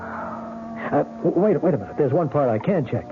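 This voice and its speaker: A man's voice: fairly high pitch, expressive, natural voice. An American man playing a probing, skeptical police lieutenant in a vintage radio drama, questioning insistently. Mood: doubting, reasoning. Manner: questioning, insistent, halting when reasoning. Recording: tinny band-limited old radio recording, music.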